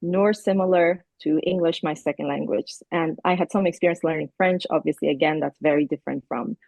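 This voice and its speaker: A woman from Iran whose first language is Persian, the guest in an interview, speaking in a thoughtful, measured way. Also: low voice, steady tone, gravelly, a little mellow, no echo. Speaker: keynote guest, associate professor. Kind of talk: relaxed interview conversation. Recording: tinny phone-like line, dry.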